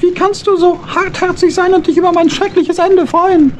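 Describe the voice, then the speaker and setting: A tiny cartoonish hedgehog in a children's radio play. The voice is extremely high and squeaky, brave but quick to panic. There is faint noise on the old recording. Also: flat delivery. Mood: pleading. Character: small brave hedgehog, plucky but easily frightened.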